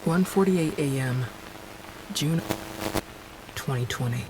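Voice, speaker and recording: An actor plays a weary, observant field operative recounting a rescue in an audio drama, in measured, halting phrases. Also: natural voice. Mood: sober, measured. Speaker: narrator and field worker character. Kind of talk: audio drama narration, log-like account. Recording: music bed and engine rumble behind. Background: music, vehicle sounds.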